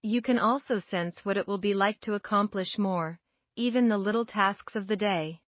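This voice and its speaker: A woman narrating a scripted guided meditation in a measured, gentle, instructional way. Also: mid-range voice, no echo, lo-fi sound.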